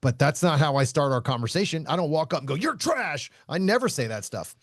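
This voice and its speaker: A man who hosts a podcast and teaches shooting, talking casually in a loud voice, blunt, laughing often and swearing freely. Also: fairly high pitch, big pitch swings, fairly quick, no echo, clean studio sound. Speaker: shooting instructor and podcast host.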